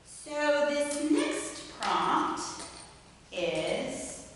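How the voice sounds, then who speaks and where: A woman actor at a live staged reading, delivering in a drawn-out, suspenseful, teasing way. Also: high-pitched, wildly swooping pitch. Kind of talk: dramatic reading with teasing audience banter. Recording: echoey hall from far off, faint noise.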